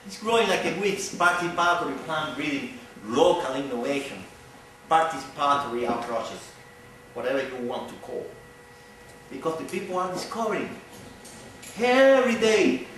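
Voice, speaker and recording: A man's voice: high voice, a little hiss or hum. A middle-aged man from Cuba with a Cuban Spanish accent, a native Spanish speaker giving a public lecture earnestly, often repeating phrases as he searches for words. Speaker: visiting speaker. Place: large echoey hall. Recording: echoey hall from far off.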